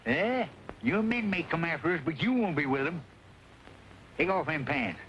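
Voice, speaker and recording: A man's voice: fairly high pitch, lively intonation, normal speed, a bit bright, muffled recording. A man playing a cantankerous, cheeky rebel sidekick in an old western. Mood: bossy. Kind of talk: old western film acting. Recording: noisy old film soundtrack.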